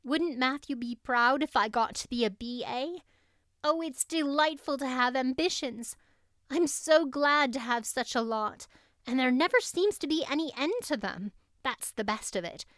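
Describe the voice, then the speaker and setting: A woman's voice: sits high, a bit bright, no echo, studio quality, pitched above the performer's own voice. A woman voicing an earnest, ambitious, dreamy teenage schoolgirl with an eager, sincere manner, in an audiobook story.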